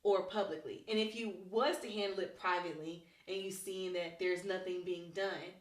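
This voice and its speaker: A woman's voice: lowish voice, steady tone, moderate pace, roomy sound. A woman co-hosting a podcast in casual, colloquial conversation. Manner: colloquial, informal. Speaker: podcast co-host. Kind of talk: casual chat.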